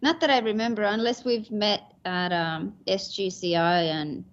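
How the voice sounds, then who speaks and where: A woman from Finland, Finnish her first language, talks casually as an interview guest. She has a dry, wry humor and pauses to search for words. The audio sounds a little dull and lo-fi. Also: medium pitch, steady tone. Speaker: master printer guest.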